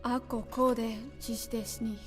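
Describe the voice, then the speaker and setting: An actress playing a quiet, restrained female sniper in a dramatic video game cutscene. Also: fairly high pitch, little pitch movement, takes it slowly. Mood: subdued. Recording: music behind the voice.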